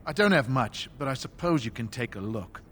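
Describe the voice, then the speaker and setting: An actor voicing a suspicious, guarded village shopkeeper in a video game. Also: male voice, medium pitch, expressive, fairly quick, a bit bright, a little hiss or hum, lightly acted. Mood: wary. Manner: guarded, curt. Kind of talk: video game dialogue acting.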